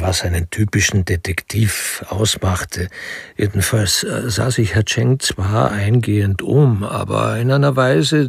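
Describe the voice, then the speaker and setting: An actor playing a wry storyteller, narrating a literary crime radio drama with deliberate pauses and a gravelly voice. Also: male voice, fairly low pitch, dry recording, studio quality, exaggerated voice. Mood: measured, wry.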